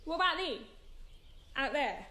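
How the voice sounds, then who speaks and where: A stubborn, curious schoolgirl in a radio drama. Her voice is high and very animated in pitch, with a blunt, questioning way of talking. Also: a little slow, a little hiss or hum, exaggerated voice. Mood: inquisitive. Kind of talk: naturalistic radio drama acting.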